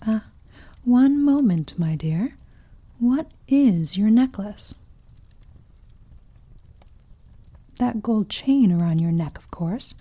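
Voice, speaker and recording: A woman playing a seductive, possessive female vampire in an intimate ASMR roleplay, speaking softly and breathily, often whispering, with teasing, silky condescension. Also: mid-range voice, animated. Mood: curious, coaxing. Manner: slow, teasing, silky condescension with pet names.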